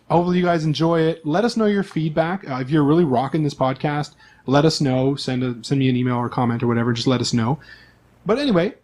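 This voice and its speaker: A man's voice: fairly high pitch, darkish tone. A man speaking as host and game master, explaining things to players and listeners in a chatty, casual way.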